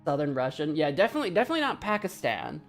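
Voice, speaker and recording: A woman live-streams a game, thinking out loud and joking at her own expense. Her pitch bounces around in a lively way, and she laughs easily. Faint music sits underneath her voice. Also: rapid-fire. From United States. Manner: chatty, joking, laughs often, thinks aloud. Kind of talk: casual live-stream commentary.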